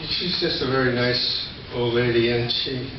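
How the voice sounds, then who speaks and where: A man's voice: medium pitch, fairly even intonation, moderate pace. An older man speaking as a poet at a live reading of his work. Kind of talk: poetry reading with informal spoken introduction. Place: indoor reading venue, recorded from a distance. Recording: echoey room, distant mic, dull, noisy.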